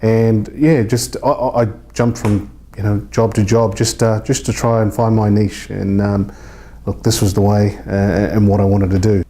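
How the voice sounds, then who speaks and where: Very mellow New man, chatting informally. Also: lowish voice, flat delivery, moderate pace. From New Zealand. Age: 30s. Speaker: real estate agent being interviewed. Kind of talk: casual interview chat.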